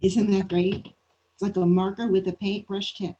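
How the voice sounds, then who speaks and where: A woman's voice: medium pitch, flat delivery, moderate pace, warm tone, muffled recording. A woman with a mid-range voice hosts a casual art session. She prompts and comments in an encouraging, affirming manner. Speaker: host of a children's art session.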